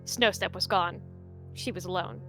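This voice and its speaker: A woman narrating a dramatic roleplay story in an expressive, measured storytelling manner. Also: lowish voice, soft background music.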